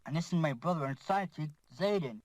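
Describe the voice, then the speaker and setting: A man's voice: a little high, animated, quick pace, no echo. A man voicing a young male prankster rabbit in an amateur cartoon, eager and plotting. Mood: scheming.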